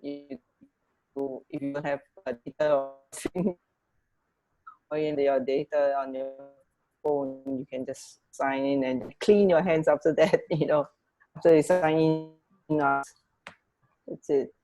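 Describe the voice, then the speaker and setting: Low-pitched woman chatting on radio. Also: fairly even intonation, warm tone, soft background music, poor audio. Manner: chatty, halting, rambling. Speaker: radio show co-host. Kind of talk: casual radio chat.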